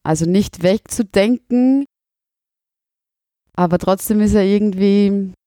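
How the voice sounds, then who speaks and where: Austrian woman in her thirties, teacher, measured and candid, dry close mic. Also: mid-range voice, dark tone, no room sound. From Burgenland, Austria. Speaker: teacher, interviewee. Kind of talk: interview answers.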